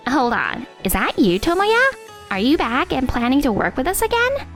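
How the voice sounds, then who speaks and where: A timid young office girl, put on by an actress for a manga dub, with music behind. The voice is tiny and very high, with swooping intonation and a sweet, tentative feel. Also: wildly swooping pitch, moderate pace. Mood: curious, coaxing. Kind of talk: English manga dub acting.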